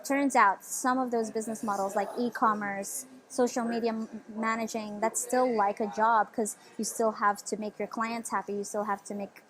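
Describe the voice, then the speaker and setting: Woman, twenties, from the Philippines, interview guest, chatty, fillers, slightly high voice. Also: flat delivery, moderate pace, few pauses, a crowd in the background, low-quality recording. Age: 20s. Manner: eager, chatty, fillers like "like" and "you know". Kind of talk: casual conversational interview.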